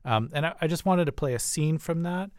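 Measured male radio host, dry sound. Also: fairly high pitch, darkish tone, no echo. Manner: measured, conversational questioning. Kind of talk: radio interview.

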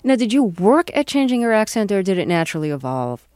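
A woman interviewer asking measured, clear questions in a radio interview. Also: medium pitch, sing-song, a little mellow, dry recording, clean studio sound.

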